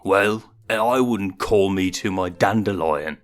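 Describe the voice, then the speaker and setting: A man reads a sly young lion cub in a storybook tale, with a fairly low voice. He is dry, mocking and easily irritated. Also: measured pace, faint music behind. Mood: teasing.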